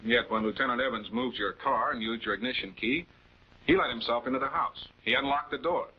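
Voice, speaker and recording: Guy acting calm prosecutor grilling suspects, bit husky, old 40s tv crime show, muffled sound. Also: medium pitch, lightly acted. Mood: accusatory. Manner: authoritative, accusatory, deliberate. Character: composed, methodical male prosecutor confronting suspects. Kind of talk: 1940s television crime drama acting. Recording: dull 1940s film soundtrack.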